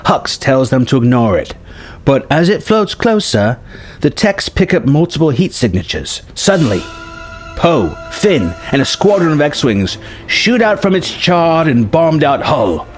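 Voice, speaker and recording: A man's voice: on the high side, sing-song, a little slow. A man reading out a scripted film story treatment as narrator, in present-tense prose. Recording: music behind the voice. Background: music.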